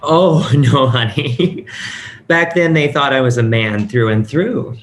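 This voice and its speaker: A man's voice: mid-range voice, slow, natural voice. An actor with a male-sounding voice playing a frank, chatty transgender woman who used to be a pastor, in a script table read. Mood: candid, warm. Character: transgender woman, former Anglican pastor, warm, frank, self-assured. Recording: audible background noise.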